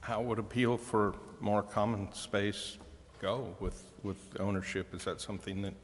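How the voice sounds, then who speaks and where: With some reverb around him, a man with a fairly deep voice speaks at a public meeting. He is a council member, feeling his way tentatively through his questions. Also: fairly even intonation, slight background noise. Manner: halting, tentative phrasing of concerns. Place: indoor council chamber.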